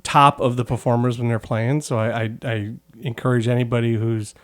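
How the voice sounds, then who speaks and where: A man's voice: mid-range voice, flat delivery, brisk. A man hosting a podcast in a casual, joking, self-deprecating way, rambling a little as he chats. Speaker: podcast host. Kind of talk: casual chatty interview.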